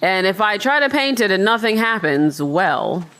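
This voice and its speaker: A woman giving casual, chatty let's-play commentary on a video game, thinking out loud as she goes. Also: mid-range voice, big pitch swings, moderate pace, rough recording. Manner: rambling, thinking aloud, chatty. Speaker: gamer narrating her own playthrough.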